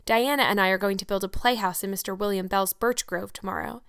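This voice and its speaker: A woman's voice: medium pitch, fairly even intonation, quick pace, gravelly, fairly bright tone, clear, resonant tone, dry recording. Brief: woman reading as a chatty, dreamy, eager young girl, gushing, dry close sound. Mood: effusive. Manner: breathless, effusive, romantic.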